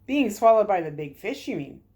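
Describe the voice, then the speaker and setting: A woman's voice: medium pitch, big pitch swings, moderate pace, a little mellow, pitched above the performer's own voice, lightly acted. A woman voicing a troubled young girl in a story, earnest and hesitant. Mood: defensive.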